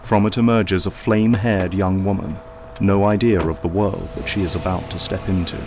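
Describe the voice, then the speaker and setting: A man playing a solemn narrator, reading measured, descriptive scripted narration for an audio drama. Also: low-pitched, fairly even intonation, background noise. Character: solemn storytelling narrator of a superhero tale.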